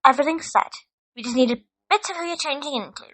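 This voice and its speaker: A woman's voice: high voice, fast talker, sharp tone, no echo, low-quality recording. A woman playing a clever, bossy schoolgirl witch in an audio drama, assured and instructing. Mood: confident, scheming.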